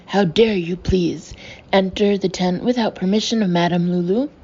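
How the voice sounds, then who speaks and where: A woman's voice: medium pitch, steady tone, moderate pace, a little mellow, breathy edge, natural voice. A woman voicing a bossy fake fortune teller with an odd put-on accent who snarls orders and says please constantly. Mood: furious. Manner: snarls orders, tacks "please" onto everything. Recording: dull lo-fi with faint hiss.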